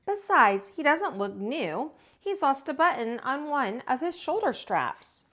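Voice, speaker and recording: A woman voicing a weary, reluctant mother in a storybook, sighing and gently dismissive. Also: high-pitched, big pitch swings, a little slow, voice pushed up, full character voice.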